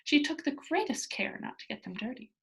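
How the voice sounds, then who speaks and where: High, lively woman reading a children's story. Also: brisk. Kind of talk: scripted children's story narration.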